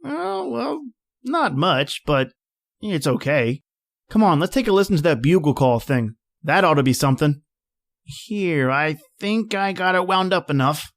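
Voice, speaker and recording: A man's voice: a little high, very animated, measured pace. An actor playing a plain-spoken man at a snowbound outpost, telling his story in a folksy, conversational old-time radio style. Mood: casual, conciliatory.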